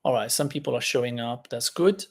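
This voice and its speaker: A man who is a native Italian speaker, hosting a casual live-stream lesson, talking in a chatty, halting way with many fillers. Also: mid-range voice, breathy edge.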